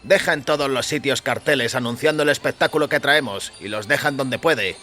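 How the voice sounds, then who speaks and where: A man playing a scornful circus gatekeeper from an audio story. His voice is raised in pitch and bright, with a curt, irritable edge and contempt for whoever is asking. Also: a little fast, soft background music, natural voice. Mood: irritated, grudging.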